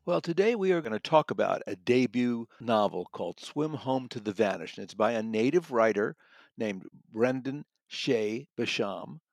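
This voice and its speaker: A man speaking as a guest book critic in conversation, somewhat halting, rephrasing and repeating words for emphasis. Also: medium pitch, animated, creaky, no room sound, clean studio sound.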